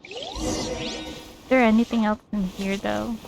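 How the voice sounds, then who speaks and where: A woman's voice: a little high, wildly swooping pitch, slow, vocal fry, music in the background. A woman giving casual gameplay commentary, musing aloud to herself as she plays. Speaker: game streamer.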